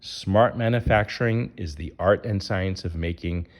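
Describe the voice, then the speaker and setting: A man's voice: low-pitched, unhurried. A man reading a rhyming children's picture book aloud as its narrator.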